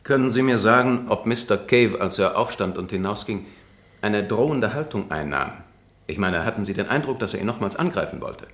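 Fast-talking, precise male prosecutor, formal radio courtroom drama, echoing room. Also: lowish voice, expressive, fairly bright tone. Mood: probing, formal.

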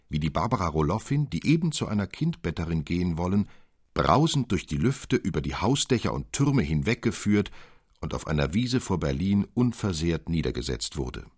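A man narrating a literary tale in an expressive, deliberate, old-fashioned storytelling manner. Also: low-pitched, husky, no echo, studio quality. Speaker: audiobook narrator.

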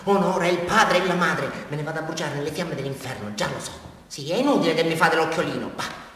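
A man's voice: fairly high pitch, fast, fairly bright tone, a little hiss or hum, lightly acted. An actor playing an agitated small-town man with a southern Italian accent, rambling and repeating himself in a theatrical confession to a police sergeant. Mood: rambling, confiding. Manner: rambling, repetitive, swinging from whispers to outbursts. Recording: very echoey hall, distant microphone.

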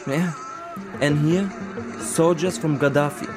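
A man's voice: on the high side, fairly even intonation, takes it slowly. An actor playing an eager male cameraman and fixer in a radio play, speaking in simple, clipped phrases. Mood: eager, matter-of-fact. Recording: busy outdoor soundscape with music. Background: crowd, street, music.

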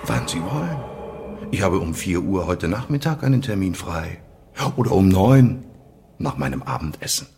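Sardonic Soviet prosecutor, wildly varied delivery. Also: male voice, sits low, very animated, background noise. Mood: taunting, superior. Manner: theatrical, sardonic, drawn-out emphasis dropping to whispers. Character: cynical Soviet chief prosecutor, coolly menacing interrogator. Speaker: actor in radio drama. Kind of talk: German radio play acting.

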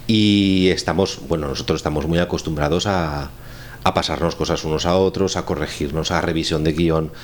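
A man's voice: extremely low pitch, fairly even intonation, moderate pace. A man from Spain with a Spanish accent, chatting casually as a scriptwriter and teacher in a wry, rambling way. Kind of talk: casual chat on a radio show. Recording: clearly noisy room recording.

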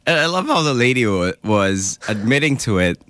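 A man's voice: mid-range voice, sing-song, moderate pace, fairly bright tone, dry recording. A man from the Philippines co-hosting a radio show in casual chat, slipping between two languages. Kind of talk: casual chat mixing English and Tagalog.

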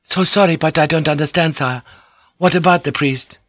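A man performs a Japanese noblewoman serving as interpreter in a historical audiobook. The voice is lifted high, polite and hesitant. An anxious deference runs through it, in a dry recording. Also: normal speed, fairly bright tone, dry recording, lightly acted. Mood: polite, puzzled.

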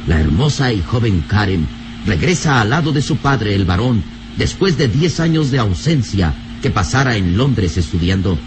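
A man's voice: on the low side, sing-song, normal speed. A man narrating an old radio serial from a script, in a florid, solemn, theatrical manner. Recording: noisy old radio recording.

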